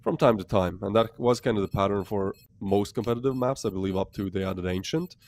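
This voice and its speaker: A man from the Baltics casting a live esports match, chatty and excitable, breaking into laughs between plays. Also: fairly low pitch, a little fast. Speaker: esports caster.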